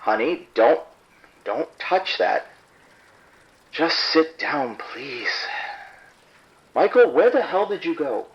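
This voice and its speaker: A man's voice: fairly high pitch, big pitch swings, slow pace, long pauses, breathy edge, exaggerated voice. An actor playing an irritated, proud husband and father who speaks curtly and defensively to the police over the phone. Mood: exasperated. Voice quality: curt, defensive. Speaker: caller. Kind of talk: audio drama acting. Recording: dull phone line.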